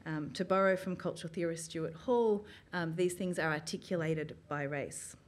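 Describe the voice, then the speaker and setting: A woman in her thirties, an academic, giving a prepared public talk from notes in a small room, measured and earnest, with frequent ums. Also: lowish voice. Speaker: academic speaker. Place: small gallery room with an audience, indoors. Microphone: stand mic, filmed from the audience.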